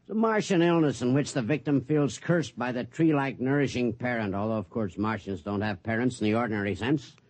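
A man's voice: mid-range voice, brisk, few pauses, a little mellow, dry recording, lightly acted. A man playing a commanding, gnarled security officer, curt and authoritative, in a 1950s radio drama. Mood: grave, explanatory.

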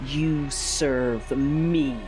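An actor playing a cruel, vengeful Sith lord, narrating an audio drama in a deliberate, commanding, menacing way with a husky voice. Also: medium pitch, steady tone. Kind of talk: first-person audio drama narration with dialogue. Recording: dramatic music behind the voice. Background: music.

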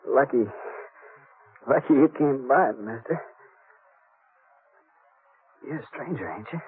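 An American man playing a wounded young westerner, weak, halting and evasive, in a 1950s radio drama. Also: mid-range voice, expressive, soft background music. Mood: weak, strained. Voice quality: husky, strained. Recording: tinny old radio recording.

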